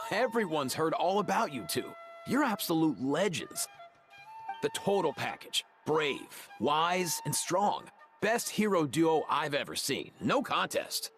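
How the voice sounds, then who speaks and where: An actor playing a laid-back, friendly young veterinarian in a video game, talking in breezy dude slang. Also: male voice, a little high, very animated, slow, fairly bright tone, faint music behind, exaggerated voice. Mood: breezy, admiring. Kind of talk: video game character dialogue.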